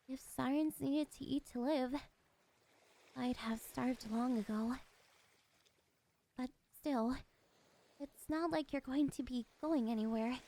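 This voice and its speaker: A woman playing a lonely, possessive, predatory siren in an ASMR roleplay, speaking softly and gently in a weak voice with quiet menace. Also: sits high, gravelly. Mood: quietly menacing. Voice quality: soft, weak voice.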